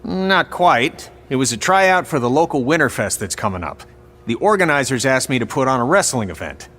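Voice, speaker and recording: An actor playing a sarcastic, blunt veteran wrestling trainer in video game dialogue. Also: male voice, mid-range voice, big pitch swings, moderate pace, fairly bright tone. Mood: stern.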